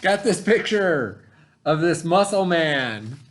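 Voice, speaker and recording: A man teaching by reading aloud to pupils, pausing to explain in casual asides and check that they follow. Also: fairly high pitch, sing-song. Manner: pauses to explain, checks in with "okay?".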